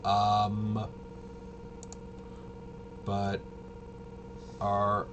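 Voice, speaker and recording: A man giving a casual, unscripted walkthrough as a host, rambling and halting, with muttered asides to himself. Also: on the low side, completely flat delivery, noisy background. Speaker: host of a tabletop RPG review video.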